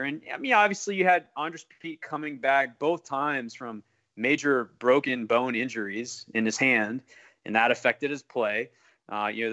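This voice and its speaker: A man hosting a sports interview, talking in a halting, long-winded way and often correcting himself. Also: mid-range voice, sharp tone. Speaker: sports podcast host. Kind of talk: conversational sports interview questioning.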